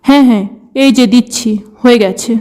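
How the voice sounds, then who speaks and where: A woman's voice: fairly high pitch, normal speed, a little mellow, lightly acted. A woman voicing a caring, self-sacrificing farmer's wife and mother in a cartoon story. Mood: obliging.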